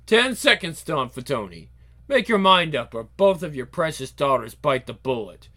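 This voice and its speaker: A man's voice: a little high, very animated, moderate pace, a bit bright. A man voicing an urbane, sadistic assassin who taunts in a controlled, theatrical way. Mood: taunting. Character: sadistic, urbane male assassin, mocking, coldly amused villain.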